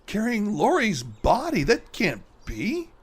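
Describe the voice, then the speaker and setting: A man playing a haunted, guilty con man in a horror radio drama, muttering dramatically to himself in a gravelly, husky voice. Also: sits high, huge pitch swings, slow, noisy background, exaggerated voice. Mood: alarmed disbelief.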